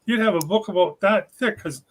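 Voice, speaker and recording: Man in his sixties, high pitch, encouraging and plainspoken. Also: brisk, rough recording. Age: 60s. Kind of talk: casual panel chat.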